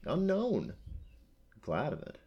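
A man's voice: on the high side, wildly swooping pitch, takes it slowly, slightly rough, muffled recording, pitched above the performer's own voice, heavily acted. A man voicing a proud, ancient female unicorn from a storybook, haughty and formal in manner. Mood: puzzled wonder.

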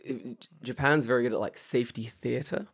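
A young man co-hosting a casual podcast, his voice raspy and hoarse, laughing often as he chats. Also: mid-range voice, normal speed. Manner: laughs often, mock voices when joking. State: hoarse from karaoke. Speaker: podcast co-host. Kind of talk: casual chat.

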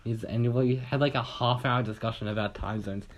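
A man's voice: mid-range voice, fairly even intonation, moderate pace. Rambling young man telling a story. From Australia. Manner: rambling, swears casually, acts out quotes. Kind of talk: casual chat, storytelling.

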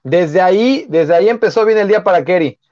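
Dull, dry recording of high-voiced Mexican man. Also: expressive, a little fast, darkish tone, dry recording. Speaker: live stream co-host. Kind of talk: casual chat.

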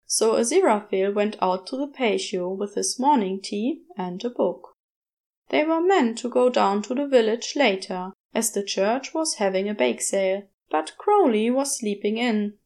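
A woman narrating a scripted story in a measured, expressive storytelling manner. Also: on the high side. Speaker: podfic narrator.

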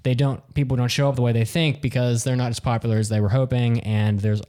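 A man with a deeper voice co-hosting a casual podcast, rambling and restarting his sentences. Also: little pitch movement, fast, mellow. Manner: rambling, self-interrupting, restarts. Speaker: podcast co-host.

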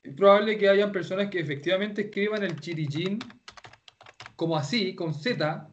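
Man, Japanese teacher, explains patiently with restarts, slightly high voice, muffled lo-fi audio. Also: moderate pace, a little hiss or hum. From Chile. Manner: explains patiently, repeats words, many restarts. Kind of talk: online class teaching.

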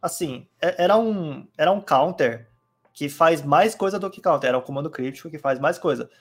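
A man chatting calmly on a podcast, explaining card game rules with many fillers. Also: fairly high pitch, brisk. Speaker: card game player guest. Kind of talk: casual podcast chat.

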